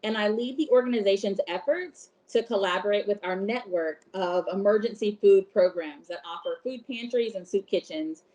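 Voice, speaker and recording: A woman's voice: mid-range voice, mellow, low-quality recording. Articulate woman speaking from home. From Brooklyn, New York. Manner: articulate, measured, some um fillers. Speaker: food bank director. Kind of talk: interview answers.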